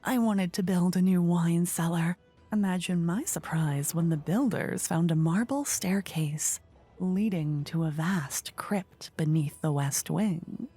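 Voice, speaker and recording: A woman playing a playful, regal fantasy queen in a soft-spoken, breathy ASMR roleplay, languid and coy, often slipping into whispers close to the microphone. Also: on the low side, measured pace, soft background music. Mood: measured, amused. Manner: languid, coy, flirtatious, courtly. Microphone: close ear-to-ear microphone.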